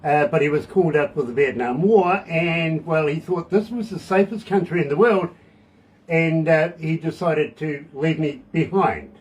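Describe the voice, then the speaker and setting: A middle-aged man with a New Zealand accent hosting a radio interview, telling deadpan jokes with slow, drawn-out setups. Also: a little high, rough recording. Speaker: radio show host. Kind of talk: casual joking interview.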